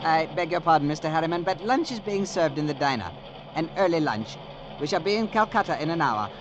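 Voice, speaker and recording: A man acts a nervous, deferential train conductor in a radio thriller. His voice is high for a man, anxious and very proper, over a clearly noisy old recording with a train rumble. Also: animated, brisk, muffled recording, natural voice. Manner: formal, servile, anxious. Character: timid, worried, deferential male train conductor. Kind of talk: old radio drama acting.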